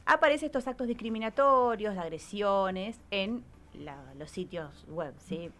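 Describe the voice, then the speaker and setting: A woman's voice: medium pitch, expressive, a little slow, a bit bright. A woman with an Argentine Spanish accent gives an explanatory radio talk as a psychologist, in a didactic way, often checking in with short questioning tags. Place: radio studio. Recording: clearly noisy radio recording.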